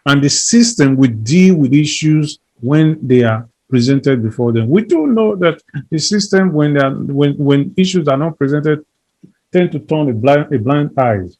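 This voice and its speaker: A man's voice: fairly high pitch, lively intonation, measured pace, darkish tone. Earnest man speaking in repeated lists. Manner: emphatic, repeats phrases, builds lists. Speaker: interview guest. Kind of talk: earnest conversational advocacy.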